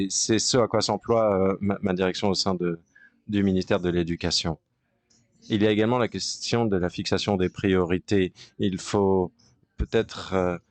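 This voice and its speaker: A man working as a conference interpreter, giving a halting simultaneous interpretation of a panel discussion with frequent pauses and restarts. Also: lowish voice, a little mellow, no echo.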